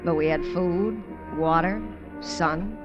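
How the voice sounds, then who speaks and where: A woman's voice: on the low side, slow pace, over music. An actress in a 1950s radio drama, playing and narrating as a wry, streetwise, colloquial showgirl castaway. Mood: reflective, narrating. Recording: dull vintage radio recording.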